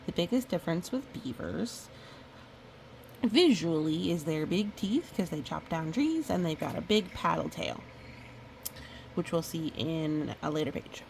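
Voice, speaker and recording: A woman reading a picture book aloud in a chatty, expressive way, with casual asides. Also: sits low, a little slow, creaky, a little hiss or hum, low-quality recording. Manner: chatty, expressive storytelling with playful sound effects. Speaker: storybook reader.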